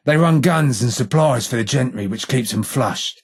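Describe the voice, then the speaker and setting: An actor voicing a gruff, sardonic old blind ghoul in a video game, with an English accent, a rough husky voice and blunt, salty slang. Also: male voice, mid-range voice, normal speed, natural voice. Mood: grave, reflective. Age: older. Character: gruff, sardonic old blind ghoul, gang boss's right-hand man. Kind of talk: video game character dialogue.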